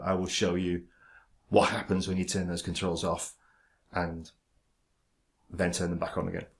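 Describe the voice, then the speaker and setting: A man talking directly to camera about his stammer, halting, with frequent pauses, ums and restarts as he controls his speech. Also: sits low. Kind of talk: casual direct-to-camera talk.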